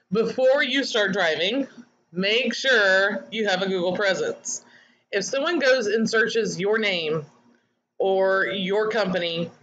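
A woman's voice: mid-range voice, fairly bright tone, lo-fi sound, slight background noise. A woman coaching online marketers in a webinar, chatty and candid as she reads out attendees' comments. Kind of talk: webinar teaching.

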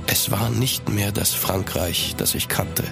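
A man's voice: on the low side, slow, gravelly, music in the background, full character voice. An actor playing a grave, weary French aristocrat, narrating his past formally and with melancholy in a German audio drama. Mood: grave, melancholic. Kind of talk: scripted first-person narration in audio drama.

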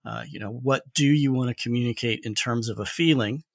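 A man's voice: mid-range voice, fairly quick, gravelly, no room sound, clean studio sound. A man speaking as a podcast guest, explaining things thoughtfully in a conversational expert discussion.

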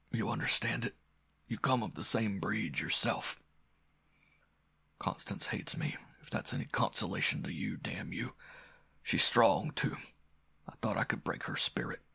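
Male narrator as dying aristocratic villain, gasping croak, halting and desperate, gravelly, pulp audiobook melodrama. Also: lowish voice, fairly bright tone, full character voice. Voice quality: gasping, laboring croak, breathy whispers. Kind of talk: audiobook character acting of pulp story.

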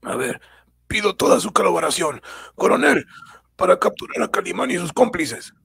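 A man voicing a fretful, whiny foreign pursuer in a comic-book radio drama, creaky and hesitant. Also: high-pitched, big pitch swings, moderate pace, bright, forceful tone, no room sound, studio quality, voice pushed up, exaggerated voice. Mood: demanding.

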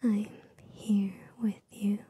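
Very slow, whispering young woman. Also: medium pitch, flat delivery. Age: young adult. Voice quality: soft, breathy whisper. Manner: slow, gentle, soothing, repetitive. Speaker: ASMR host. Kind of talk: ASMR positive affirmations. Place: small bedroom with gaming setup, indoors. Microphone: large microphone very close to the mouth.

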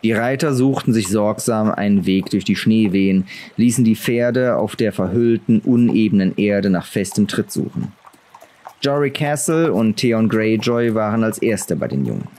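A man's voice: lowish voice, lively intonation, moderate pace, mellow. A man reading a fantasy novel aloud as a dramatic audiobook narrator.

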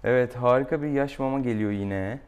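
A man's voice: mid-range voice, a little fast, clean tone, phone-quality sound. A young man, a veterinarian chatting casually and playfully coaxing a pet as he works. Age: young adult. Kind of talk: casual chat while treating an animal. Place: small tiled veterinary exam room. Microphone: camera a few steps away. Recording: noisy room, camera mic at distance.

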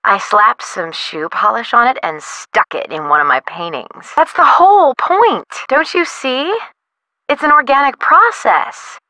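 A woman's voice: on the low side, lively intonation, moderate pace, hardly any pauses, sharp tone, no room sound, heavily acted. An American woman voicing a young artist in a video game, flippant and theatrical, with breezy slang. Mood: flippant, dramatic. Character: flippant, dramatic young bohemian female artist. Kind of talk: video game character dialogue.

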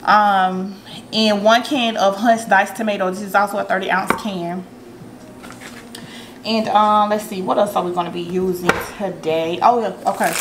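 A woman's voice: mid-range voice, moderate pace. A woman talks through a recipe in her home kitchen, speaking loosely and directly to her viewers. Her voice sits mid-range, with plenty of ums and easy asides. The camera mic near the counter picks up a faint hum from the room. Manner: chatty, relaxed, many ums, talks straight to viewers. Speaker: cooking vlogger. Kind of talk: casual cooking tutorial.

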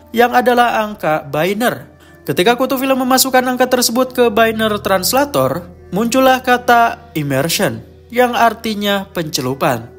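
Male narrator, high voice, scripted horror explainer, dramatic storytelling, faint music behind. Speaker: host and narrator.

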